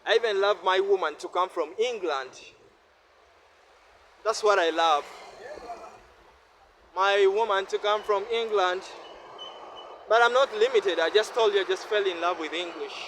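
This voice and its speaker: A man's voice: high voice, a little slow, long pauses, fairly bright tone. A man from Uganda whose first language is Luganda, talking in an earnest, defiant and repetitive vlog monologue while filming on a city street. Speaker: vlogger. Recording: street noise behind.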